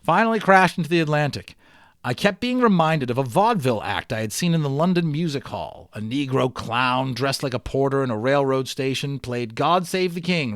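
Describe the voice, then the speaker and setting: A man with a medium-pitched voice reads a storybook aloud as host. His delivery is expressive and folksy, and the sound is dry with very little room in it. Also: dry recording. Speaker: reader and host of a read-aloud series. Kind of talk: dramatic storybook read-aloud with casual asides.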